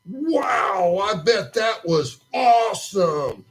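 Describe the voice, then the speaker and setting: A man's voice: high voice, very animated, very slow, creaky, heavily acted. Man doing a wide-eyed boy puppet. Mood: curious. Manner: questioning, jokey and full of wonder. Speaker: puppet in a church children's show. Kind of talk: children's puppet show dialogue. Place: church.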